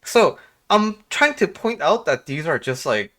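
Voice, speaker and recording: A man from Korea talking casually and reflectively to viewers as a life coach, halting often and posing rhetorical questions. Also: a little high, very animated, a bit bright. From South Korea. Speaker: life coach and video host.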